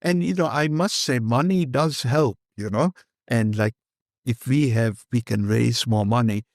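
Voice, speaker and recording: A man's voice: mid-range voice, measured pace, no echo, clean studio sound. An older man with an Indian accent, a guest speaking candidly and rambling in an interview, slipping Hindi phrases into his English. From Kenya.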